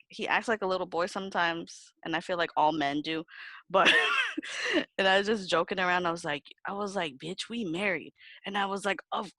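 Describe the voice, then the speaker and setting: A young woman co-hosting a podcast, telling stories in casual chat with animated delivery, laughing and swearing often. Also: fairly low pitch, no echo. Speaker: podcast co-host.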